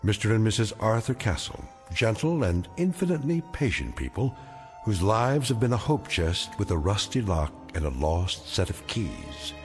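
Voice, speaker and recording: Solemn, reverberant low male narrator. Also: very animated. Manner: solemn, measured, portentous. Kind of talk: scripted dramatic radio narration. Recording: long reverb over faint music.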